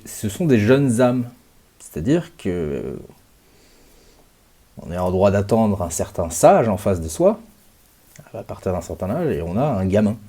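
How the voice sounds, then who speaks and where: A man in his late thirties speaks in a low, mellow voice, slow and reflective. He talks straight to his audience, pausing often and drawing out key words. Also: breathy edge. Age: 30s. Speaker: channel host. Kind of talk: reflective monologue to camera.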